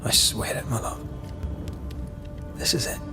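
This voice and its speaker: An actor playing a grieving, resolute Scottish ghost hunter in a dramatic video game. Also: male voice, unhurried, music in the background, natural voice. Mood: grieving, subdued.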